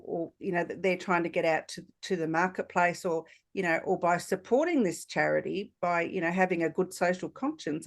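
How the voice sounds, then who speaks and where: A woman speaks as a podcast host in casual conversation. Her voice is a little low, and she thinks aloud in hesitant, reflective phrases.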